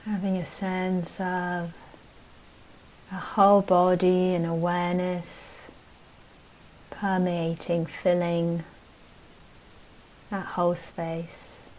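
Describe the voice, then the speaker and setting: A woman meditation teacher leading a guided practice in a gentle, unhurried way, speaking in short phrases with long pauses. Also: lowish voice, level tone, a little hiss or hum, rough recording.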